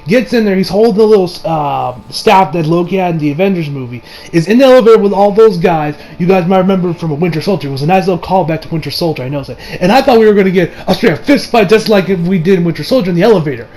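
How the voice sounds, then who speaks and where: Young man rambling casually, higher-pitched voice. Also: fast talker, few pauses, rough recording. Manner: excitable, slangy fan talk with trailing asides. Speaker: video reviewer. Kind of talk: casual rambling movie review. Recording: music behind the voice. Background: music.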